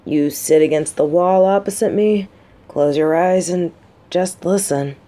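A woman's voice: fairly low pitch, unhurried, dark tone, lightly acted. A woman playing a guarded, sarcastic but secretly vulnerable lieutenant and bodyguard in an intimate audio roleplay. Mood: vulnerable, softening. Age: adult. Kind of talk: scripted roleplay audio drama, addressed to listener.

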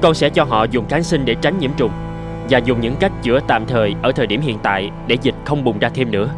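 A man's voice: medium pitch, a little fast, music in the background, natural voice. An actor voicing a polite, idealistic young medical man in a dubbed cartoon, respectful and earnest in his delivery. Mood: earnest, pleading. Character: polite, idealistic young man, doctor's apprentice turned physician.

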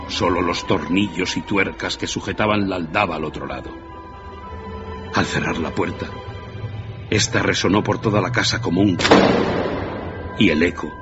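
A man with a low voice narrates a radio play in a dramatic storytelling manner. Music plays clearly behind him, and the sound is slightly dull.

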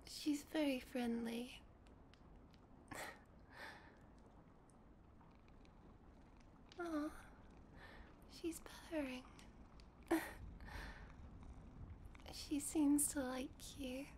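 A woman playing a sweet, shy young vampire girlfriend in an intimate ASMR roleplay, whispering softly and hesitantly. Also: sits high, very slow, pauses often, a little hiss or hum. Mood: tender, amused. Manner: soft, breathy whispering, hesitant and giggly. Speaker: roleplay voice performer.

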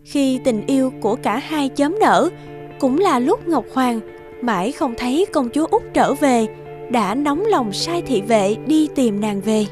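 A woman with a high voice narrates a cartoon folk tale. Her delivery is scripted, in storybook style, and music plays underneath. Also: animated, measured pace.

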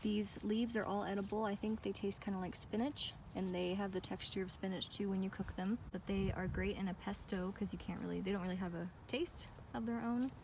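A woman's voice: mid-range voice, steady tone, fairly quick, hardly any pauses, darkish tone, slight background noise. An American woman giving a casual, chatty and enthusiastic walk-through narration as a vlogger, recorded outdoors on a handheld phone. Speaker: vlogger giving a garden tour. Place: outdoors in a backyard garden. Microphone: handheld phone behind the camera.

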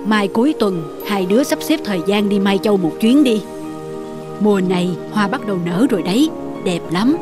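A woman voicing a gentle, wise and patient older mother-in-law in an animated moral tale. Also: medium pitch, moderate pace, close to an everyday voice. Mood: gentle, coaxing. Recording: background music under the voice.

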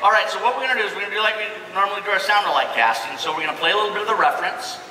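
A man's voice: sits high, very fast, bright, forceful tone, distant mic. A man hosting a stage show, speaking casually and upbeat to the audience. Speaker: host of a stage presentation. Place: stage in a large convention hall. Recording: echoey large hall from afar, noisy crowd.

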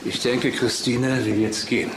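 An actor playing a stern, controlling middle-aged father in a German crime radio drama, speaking curtly and haltingly. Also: mid-range voice, fairly even intonation, music in the background, lightly acted, poor audio. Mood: stern, gruff. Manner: slow, curt, halting. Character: stern, controlling, grieving father of a grown daughter.

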